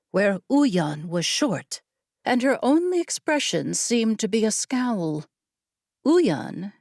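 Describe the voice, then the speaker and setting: A woman narrating an audiobook story in a measured, expressive storytelling manner. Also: medium pitch, no echo, clean studio sound. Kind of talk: scripted fiction narration.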